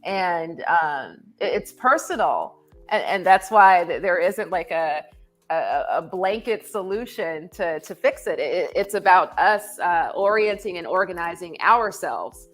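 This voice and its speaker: A woman's voice: lowish voice, expressive, a little slow, soft background music. A woman speaking as a podcast guest in a reflective discussion, thoughtful and often repeating words as she works out her point.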